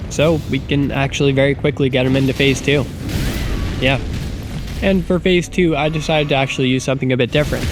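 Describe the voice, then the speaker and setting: A man with a stuffy, nasal voice from a cold, chatting casually and self-deprecatingly as he narrates a video game run over his footage. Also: mid-range voice. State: getting sick, congested. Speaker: gaming YouTuber. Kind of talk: casual gameplay commentary voice-over.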